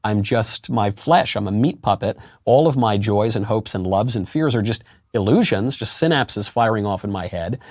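A man with a deep voice, a guest in a political interview, arguing emphatically with rhetorical questions and sudden jumps in pitch on key words. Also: expressive, normal speed, hardly any pauses, darkish tone, no room sound, studio quality. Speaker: interview guest, conservative commentator.

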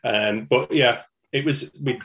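A man's voice: mid-range voice, slow, fairly bright tone. A man with a Manchester accent, a band's singer, chatting easygoing and matter-of-fact as a guest over a video call. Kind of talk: casual interview chat.

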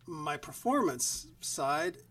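A man talking as a guest musician in a relaxed interview over a video call, in a quiet, even speaking voice. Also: a little high, slow, far from the mic. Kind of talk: casual interview chat. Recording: voice set back from the mic.

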